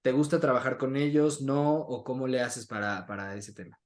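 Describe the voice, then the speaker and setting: A man's voice: medium pitch, fairly even intonation, moderate pace. A man hosting a conversational interview in a colloquial way, often adding tag questions. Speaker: interviewer, host.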